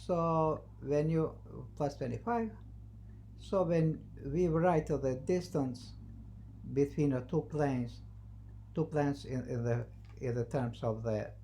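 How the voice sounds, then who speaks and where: A non-native English speaker teaching math, thinking aloud haltingly and repeating phrases while writing out the steps. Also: mid-range voice, warm tone. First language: not English. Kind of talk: math lesson, working through a problem at the board. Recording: noisy, with a constant hum.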